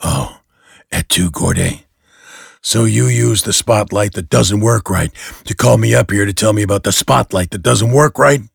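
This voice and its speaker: A man doing a gruff, gravelly vain caped hero. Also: lowish voice, expressive, normal speed, no echo, clean studio sound, full character voice. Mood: sarcastic. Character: male superhero, gruff, vain, touchy about his gadgets.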